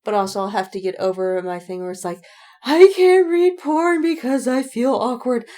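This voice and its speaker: A woman's voice: a little high, lively intonation, normal speed, darkish tone. A woman in casual podcast chat about fandom, speaking emphatically with slang and swearing and repeating words for effect. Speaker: podcast co-host.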